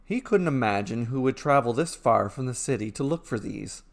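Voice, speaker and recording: A man reading a scripted fairy tale as a storyteller, in measured storybook phrasing with short dramatic sentences. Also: medium pitch, expressive, creaky.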